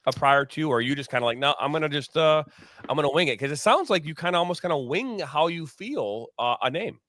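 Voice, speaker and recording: A man co-hosting a podcast, asking a question in a halting, careful way. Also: fairly high pitch, lively intonation, a bit bright, no room sound, studio quality. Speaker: podcast co-host. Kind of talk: casual podcast chat.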